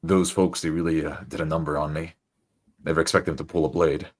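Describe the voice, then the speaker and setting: A world-weary, wounded adventurer, acted by a man in a fantasy tabletop game. His voice is extremely deep, and he speaks in a formal, deliberate, grumbling way. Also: flat delivery. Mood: grateful.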